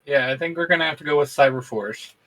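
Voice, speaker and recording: A man co-hosting a casual chat, joking, swearing and thinking out loud. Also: on the high side, fast, rough recording. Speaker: co-host. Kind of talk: casual chat among friends.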